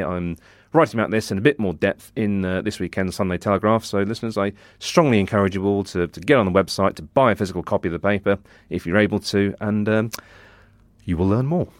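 Low-pitched man, British accent, technology reporter, discursive podcast analysis, close dry sound. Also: no echo. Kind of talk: informed spoken analysis on a news podcast.

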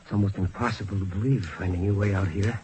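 An actor playing a lonely, remorseful exiled naval officer, earnest and halting, in an old-time radio drama. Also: male voice, low-pitched, mellow, natural voice. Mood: wistful, heartbroken.

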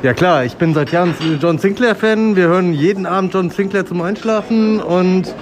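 A man's voice: high-pitched, normal speed. A man giving casual answers as an interviewed guest at an event. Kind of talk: casual chat. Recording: busy crowd chatter.

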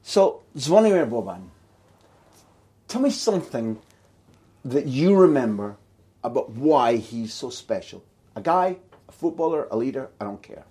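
A man's voice: medium pitch, big pitch swings, a little slow, long pauses, mellow, husky. A man interviewing a guest on a podcast in a conversational way.

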